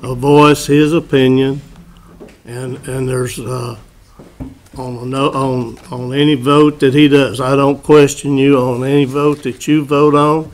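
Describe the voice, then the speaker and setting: A man chairing a formal board meeting, speaking in a curt, halting way. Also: mid-range voice, flat delivery, slight background noise, low-quality recording. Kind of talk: formal public meeting procedure. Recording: public meeting room recording.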